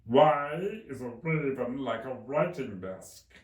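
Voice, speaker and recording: A man voicing a contrary, eccentric storybook Hatter who grumbles with slow emphasis. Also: mid-range voice, roomy sound, distant mic, pitched above the performer's own voice, close to an everyday voice. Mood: blunt, contrary.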